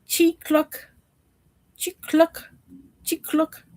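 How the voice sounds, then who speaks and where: A woman's voice: very high voice, monotone, extremely slow, darkish tone, voice pushed up, exaggerated voice. A woman voicing storybook creatures with repeated imitation animal and clockwork calls. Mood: mechanical chirping.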